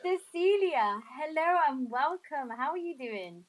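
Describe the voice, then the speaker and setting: Bubbly high-voiced woman host. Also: expressive, unhurried. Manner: bubbly, upbeat and chatty. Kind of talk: casual live audio chat. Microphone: remote audio stream.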